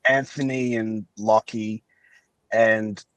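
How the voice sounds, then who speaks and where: A man's voice: lowish voice, steady tone, slow pace, dry recording, poor audio. A man chatting casually as a podcast guest, giving his opinions in a rambling way and often qualifying what he says. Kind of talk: casual chat.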